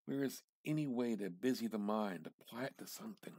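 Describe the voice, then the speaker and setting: A man giving an amateur dramatic reading of literary fiction, theatrical and halting, with pauses and emphatic repetition. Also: fairly low pitch, darkish tone, dry recording, studio quality. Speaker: amateur reader.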